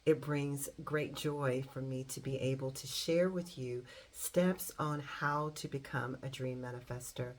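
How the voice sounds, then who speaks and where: A middle-aged woman with a very deep, husky voice, giving an earnest motivational talk and stressing key words deliberately. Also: steady tone, a little hiss or hum. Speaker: motivational coach and singer.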